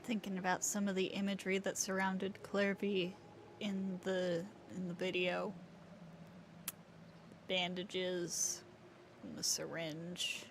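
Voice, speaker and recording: A woman's voice: on the low side, level tone, takes it slowly, long pauses, a little hiss or hum. A woman streaming a game and giving casual reaction commentary.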